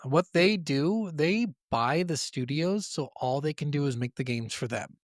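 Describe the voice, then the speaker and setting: A man's voice: fairly high pitch, a little slow, no room sound, clean studio sound. A man co-hosting a podcast, chatting casually about video games in a blunt, opinionated, sweary way. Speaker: podcast host.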